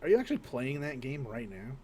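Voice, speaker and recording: A man's voice: medium pitch, sing-song, a little fast, darkish tone, breathy edge. A man co-hosting a podcast in casual, joking chat, with crude, sweary banter. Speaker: podcast co-host. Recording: noisy background.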